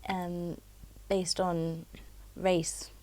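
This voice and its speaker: A woman's voice: lowish voice, little pitch movement, drawn-out words, a little mellow. A woman hosting a casual interview, chiming in with supportive, slangy exclamations. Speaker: host.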